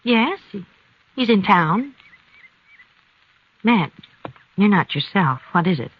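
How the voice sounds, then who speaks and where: An actress playing a gentle, worried farm wife in a 1950s radio western, with a soft, earnest way of talking. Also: female voice, medium pitch, animated, slow, husky. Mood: distressed. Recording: dull lo-fi old radio recording, faint hiss.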